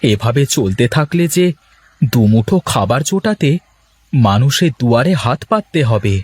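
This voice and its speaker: Man acting husky, animated village fisherman husband. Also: mid-range voice, moderate pace, no echo. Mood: dejected. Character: weary village fisherman husband, grumbling, tenderly teasing his wife. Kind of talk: Bengali cartoon moral-story dialogue.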